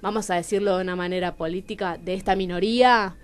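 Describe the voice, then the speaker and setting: A woman's voice: mid-range voice, fairly even intonation, fast talker, clear, resonant tone. A woman with a Rioplatense Argentine accent speaking candidly as a guest playwright in a radio interview, questioning herself aloud and acting out bits of dialogue. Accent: Rioplatense Argentine Spanish. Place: radio studio.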